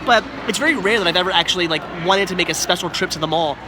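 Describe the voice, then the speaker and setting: A man's voice: high-pitched, very fast. A man in his thirties with a cold, sounding congested and nasal, rambling cheerfully in a casual vlog at a busy mall arcade. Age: 30s. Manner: rambling, upbeat, repeats words. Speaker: vlogger. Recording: loud crowd and noise behind.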